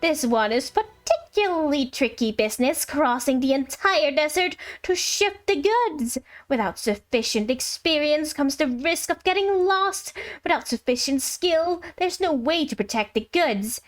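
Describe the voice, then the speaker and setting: A woman voicing a scheming, money-loving merchant making a showy, wheedling sales pitch from game text. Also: expressive. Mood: eager, salesy. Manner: salesy, wheedling, drawn-out key words. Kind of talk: reading game letters aloud in character.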